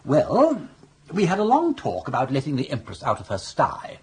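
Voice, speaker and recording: An actor playing a suave older English gentleman schemer with an upper-class accent and jaunty, ornate phrasing in a radio comedy. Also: medium pitch, wildly swooping pitch, moderate pace, a little hiss or hum. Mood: scheming, jaunty. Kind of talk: radio comedy drama acting.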